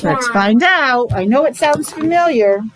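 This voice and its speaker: A woman reading a picture book aloud to young children, expressive, adding explanations and questions along the way. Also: high voice, measured pace, background noise. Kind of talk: animated read-aloud storytelling with asides to young children.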